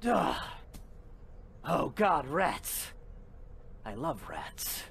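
Man voicing a brooding hedgehog antihero, wildly swinging pitch. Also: huge pitch swings, very slow, vocal fry, crowd noise, exaggerated voice. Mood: muttering. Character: brooding, petulant male hedgehog antihero hungry for evil power. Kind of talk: improvised comic game fandub. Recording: game music behind.

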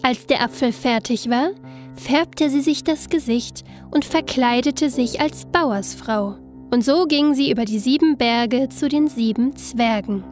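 Woman reading a fairytale, high voice, slow and expressive, bit of music behind. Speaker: storyteller. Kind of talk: scripted fairy-tale narration.

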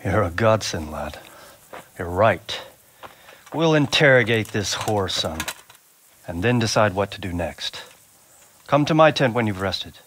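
A man's voice: on the low side, big pitch swings, measured pace, pauses often, a bit bright, slightly rough, full character voice. An actor playing a weary, grave old medieval nobleman in a video game. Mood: relieved, resolute. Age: older. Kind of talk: video game cutscene acting.

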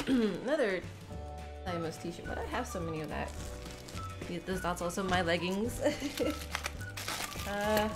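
A woman's voice: mid-range voice, over music. Stuffy-nosed young woman vlogging. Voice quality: nasal. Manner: rambling, halting with fillers. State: sick, stuffy nose. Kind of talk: casual unboxing chat. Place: small room indoors.

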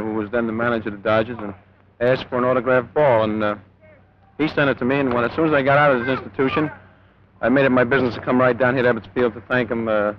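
A man, a bat boy answering questions in an informal interview. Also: medium pitch. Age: 20s. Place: outdoors at an empty ballpark dugout. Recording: dull 1950s newsreel sound, faint noise.

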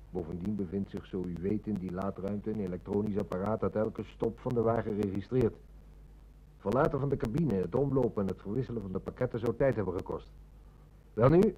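An actor playing a shrewd, methodical police inspector near retirement in a radio play, with measured, polite questioning. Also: male voice, on the low side, warm tone, husky, poor audio. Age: older. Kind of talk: Dutch radio play acting.

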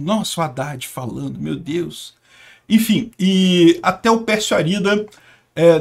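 A middle-aged man from Brazil hosting casual political commentary, sarcastic and emphatic with scornful asides, talking at a desk in a small room. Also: high voice. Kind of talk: casual political commentary over screen share.

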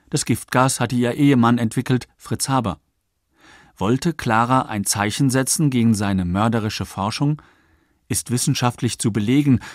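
A man narrates a radio documentary from a script. His voice sits at a middle pitch and he is measured and articulate. The sound is very dry. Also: dry recording.

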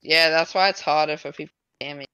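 A man's voice: on the high side, steady tone, fast talker, sharp tone, clean tone, no room sound. Giggly teen boy sidekick on stream. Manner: giggly, playful, mock-offended. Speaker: co-host friend on stream. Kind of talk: casual gaming livestream chat.

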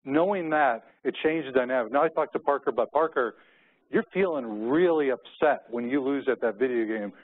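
A middle-aged man with an American accent speaks as a keynote presenter, moving between business points and personal stories. His pitch sits in an average range. The recording is narrow and phone-like, like audio from a conference room feed. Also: moderate pace.

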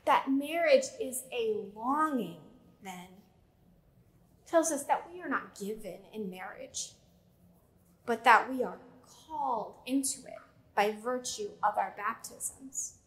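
High-voiced woman preaching from the pulpit. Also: expressive. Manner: measured preaching with slow emphatic pauses and gestures. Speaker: pastor. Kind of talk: sermon. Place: church sanctuary with pipe organ. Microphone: pulpit mic.

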